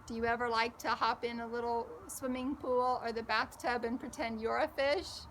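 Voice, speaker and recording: A woman storyteller reading a picture book aloud, gently encouraging young children and asking them questions directly. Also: a little high, fairly even intonation, moderate pace, a little hiss or hum, poor audio.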